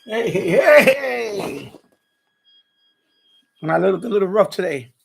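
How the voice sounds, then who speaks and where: A man with a rough, gravelly voice chatting casually on a livestream, repeating himself and muttering as he tinkers. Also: sits high, lively intonation, unhurried, slight background noise. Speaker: live streamer, radio hobbyist. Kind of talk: casual rambling livestream chat.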